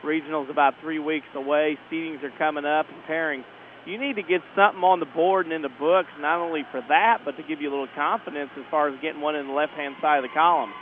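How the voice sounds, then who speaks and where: Male radio analyst, slightly high voice, conversational, on a thin phone-like remote feed from an arena. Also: quick pace. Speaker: color commentator. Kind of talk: conversational live sports analysis. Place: indoor school sports arena. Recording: phone-like line, steady venue noise.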